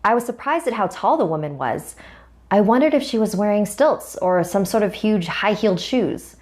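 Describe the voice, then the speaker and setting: A woman's voice: medium pitch, expressive, normal speed, a little mellow. A woman narrating a scripted first-person horror story as a storyteller. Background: music.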